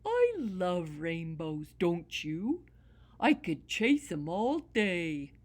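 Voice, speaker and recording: A woman voicing a cheerful, chatty female dog in a storybook, in a bubbly soft manner. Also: on the low side, sing-song, extremely slow, exaggerated voice. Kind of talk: storybook character voice.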